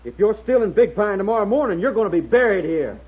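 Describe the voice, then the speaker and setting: An actor playing a jealous, blustering young gunslinger with a husky voice in a 1950s radio western. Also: male voice, high-pitched, expressive, fast, heavy background noise. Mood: threatening. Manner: blustering, challenging. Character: hot-headed, jealous young male gunslinger. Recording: tinny, band-limited old radio recording.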